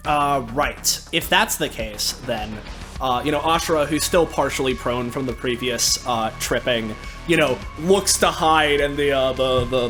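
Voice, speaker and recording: A man's voice: mid-range voice, fairly bright tone. A man playing a tabletop game, chatting casually in a jokey, sweary manner. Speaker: player.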